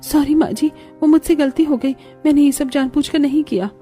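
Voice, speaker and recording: A woman's voice: high-pitched, fairly quick, mellow, soft background music, voice pushed up, close to an everyday voice. A woman voicing a meek, anxious young daughter-in-law, fretful and plaintive, in a cartoon family drama. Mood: apologetic. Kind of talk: Hindi animated family-drama voice acting.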